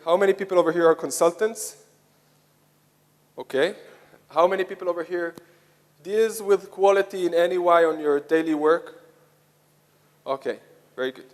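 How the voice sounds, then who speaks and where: Long hall reverb surrounds a man with an Israeli accent and a somewhat high-set voice. He is presenting to a conference audience. His delivery is halting, with many hesitations and phrases begun again. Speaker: conference speaker, software product lead.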